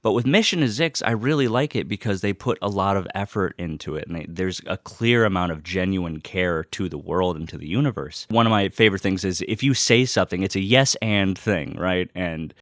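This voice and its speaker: A man's voice: sits low, brisk, few pauses, fairly bright tone, no echo, clean studio sound. A man hosting a personal vlog in casual, unscripted talk, rambling and often cutting himself off. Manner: rambling, self-interrupting. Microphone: close personal microphone.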